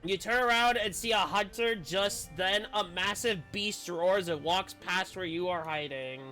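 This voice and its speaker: A man's voice: high-pitched, measured pace, sharp tone, soft background music. A man streaming a game, reading its story aloud with dramatic narration and casual commentary.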